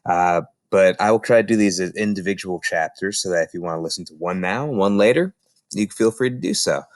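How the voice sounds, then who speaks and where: A man reading a children's book aloud in an expressive, theatrical storytelling style with emphatic phrasing. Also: low voice, a little fast, no room sound.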